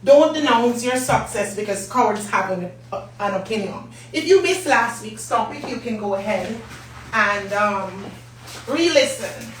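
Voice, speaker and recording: A hoarse middle-aged woman with a Jamaican accent hosts a business talk live stream, chatting and greeting viewers by name. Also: a little high, lively intonation, measured pace. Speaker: business talk show host. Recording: echoey room, distant mic, faint hum.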